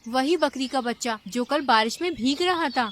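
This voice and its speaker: Woman voicing a high-pitched, caring cartoon bird. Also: lively intonation, normal speed, a bit bright. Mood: suspicious. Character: caring, dutiful young female bird of the forest. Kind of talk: Hindi children's cartoon dubbing. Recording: clear background noise with ambience.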